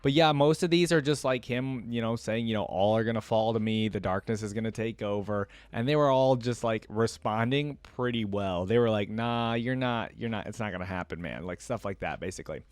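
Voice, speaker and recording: A man's voice: lowish voice, brisk, hardly any pauses, clear, resonant tone, no room sound. A man hosting a reaction video, talking casually and thinking aloud in slangy, conversational commentary.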